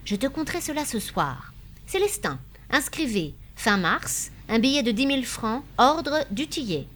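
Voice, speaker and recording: A woman's voice: fairly high pitch, very animated, moderate pace, fairly bright tone, a little hiss or hum, pitched above the performer's own voice. A woman voicing a proud, hopeful middle-aged shopkeeper husband in an audiobook, speaking insistently and reassuringly. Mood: brisk, evasive. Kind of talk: dramatised audiobook dialogue.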